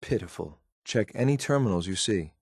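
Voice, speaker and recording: An actor playing a grim, cold synthetic soldier in a video game, speaking in a clipped, deadpan, disdainful way. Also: male voice, lowish voice, normal speed, husky, no echo, clean studio sound. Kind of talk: scripted video game companion lines.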